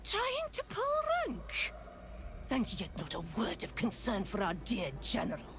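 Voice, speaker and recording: A woman's voice: medium pitch, huge pitch swings, a little slow, gravelly, background noise, heavily acted. An actress playing a stern female officer who gives orders and threatens an intruder. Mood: menacing. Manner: commanding, threatening.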